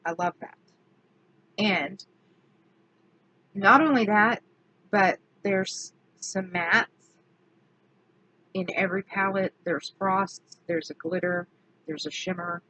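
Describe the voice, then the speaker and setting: A woman, slightly hoarse from allergies, chatting casually through a makeup product review and correcting herself as she goes. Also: medium pitch, pushed and bright, a little hiss or hum, low-quality recording. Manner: chatty, self-correcting, slow and deliberate. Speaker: beauty vlogger.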